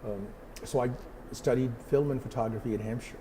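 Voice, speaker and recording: A man's voice: medium pitch, a little fast, warm tone, slight background noise, rough recording. A middle-aged man from Pakistan, a photographer answering interview questions thoughtfully, with many fillers and restarts.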